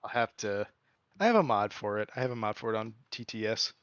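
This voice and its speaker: A man's voice: on the low side, big pitch swings, brisk, a bit bright, clean tone, no echo. A man chatting casually and rambling through explanations with lots of fillers. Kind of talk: casual chat. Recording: dull dry mic.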